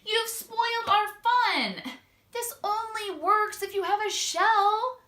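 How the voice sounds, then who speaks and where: Woman as shrill, excitable storybook snails. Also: takes it slowly, exaggerated voice. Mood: scolding. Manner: urgent, exclaiming. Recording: echoey room.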